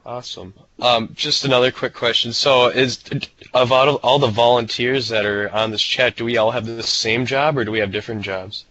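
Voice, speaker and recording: A man's voice: medium pitch, steady tone, moderate pace, sharp tone. A man casually asking questions as an invitee on a conference call, phrasing them tentatively. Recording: muffled, noisy conference call line.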